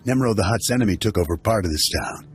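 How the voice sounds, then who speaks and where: A seasoned mentor, voiced by a man, briefs his hunter in a steady, matter-of-fact tone. His voice sits low and even. Faint game music plays beneath. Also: fast, lightly acted. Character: seasoned male bounty hunter mentor, businesslike. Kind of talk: video game dialogue.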